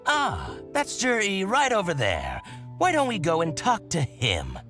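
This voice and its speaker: A man voicing a shrewd, excitable merchant in a video game, haggling and blustering. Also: high voice, music in the background, full character voice. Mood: wary. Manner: haggling, flustered, quick to bluster. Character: fussy middle-aged male boutique merchant, shrewd and excitable. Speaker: shopkeeper.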